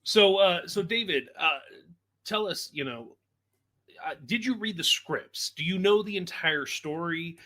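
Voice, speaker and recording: A man's voice: high voice, animated, unhurried, bright, forceful tone. A man hosting a casual interview, with a blunt, joking manner. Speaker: host, executive producer.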